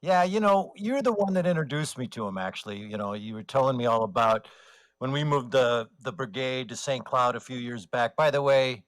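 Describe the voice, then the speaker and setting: Male, mid-pitched, casual interview guest; jokey delivery with plenty of false starts. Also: animated, fairly bright tone. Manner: joking, halting with false starts. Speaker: radio theater writer and voice actor, interview guest. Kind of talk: casual chat.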